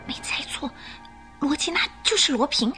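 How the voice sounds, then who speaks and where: A young lady in a radio play, tender and curious. Her voice is high and bright, with expressive swings in pitch over background music. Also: moderate pace, in a whisper. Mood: excited, intent. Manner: emotive, expressive. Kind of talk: Mandarin radio drama acting.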